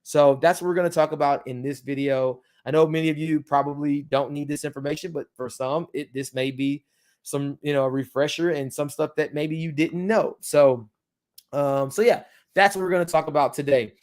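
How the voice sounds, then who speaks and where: A man's voice: fairly high pitch, fairly even intonation, normal speed, darkish tone. A man hosting a casual tutorial for his viewers, his speech slightly thickened by dental aligners, rambling with many ums and you-knows. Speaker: crypto channel host.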